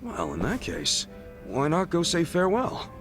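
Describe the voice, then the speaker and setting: A man's voice: on the high side, huge pitch swings, measured pace, full character voice. An actor playing an earnest, plain-spoken male friend in a video game, talking colloquially and sympathetically with an American accent. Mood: sympathetic. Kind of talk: video game dub, conversational. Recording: music behind the voice.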